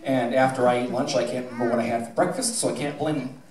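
A man's voice: mid-range voice, steady tone, quick pace, crowd noise. Middle-aged American male emcee, folksy and teasing, addressing a hall, heard from far off with audience noise. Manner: folksy, wry, teasing delivery. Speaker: high school theatre director announcing awards. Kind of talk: informal award-ceremony speech to an audience. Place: school theatre stage, indoors. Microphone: handheld mic on PA, captured by a distant camera. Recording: echoey auditorium recorded from far off, audience noise. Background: audience murmur and laughter.

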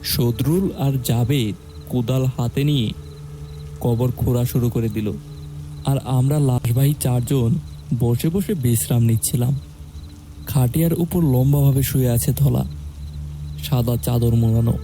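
A young man narrating a horror story in a steady, measured way. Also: medium pitch, music in the background.

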